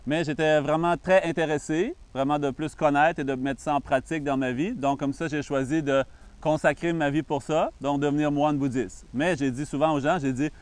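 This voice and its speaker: Québécois monk guy explaining meditation slow and calm, smooth crisp voice. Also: fairly high pitch. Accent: Québécois French. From Canada. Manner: calm, patient explaining with frequent pauses. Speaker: Buddhist monk and meditation teacher. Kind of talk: introductory talk on meditation.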